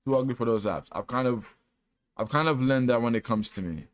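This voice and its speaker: A man's voice: mid-range voice, brisk, dry recording. Candid young man in a rambling vlog. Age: 20s. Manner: candid, self-deprecating, rambling with restarts; casual slang, says "bro" often. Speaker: vlogger. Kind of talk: personal reflection monologue.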